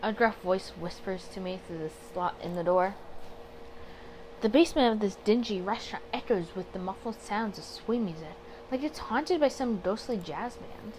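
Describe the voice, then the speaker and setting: A woman's voice: medium pitch, moderate pace, darkish tone, poor audio. A woman hosting a casual let's play, chatty and rambling, stopping to correct herself and reading visual novel text aloud. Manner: chatty, rambling, self-interrupting and apologetic. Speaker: host of a gaming channel. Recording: home recording with background noise.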